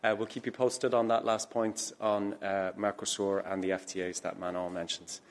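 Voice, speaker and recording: A low, flat-voiced man runs a press briefing from a podium microphone. He announces the next items briskly.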